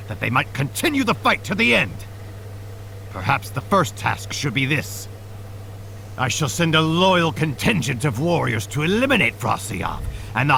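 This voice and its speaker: A man's voice: on the high side, vocal fry, bright, forceful tone, a little hiss or hum, exaggerated voice, rough recording. An imperious, wrathful king, swooping delivery. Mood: scheming. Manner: imperious, solemn, declamatory. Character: wrathful, fanatical, suspicious old king in a stage play. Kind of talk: theatrical stage-play acting in a video game. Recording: music behind the voice.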